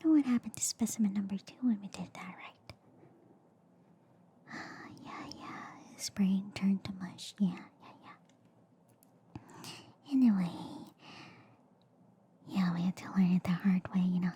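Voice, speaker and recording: A woman voicing a quirky, reassuring alien girl doctor in a soft ASMR roleplay, mostly in a hushed, breathy whisper with a soothing, chatty manner. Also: mid-range voice, slight background noise, close to an everyday voice. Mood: mildly exasperated. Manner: slow, chatty, soothing, repeating filler words. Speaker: ASMR performer.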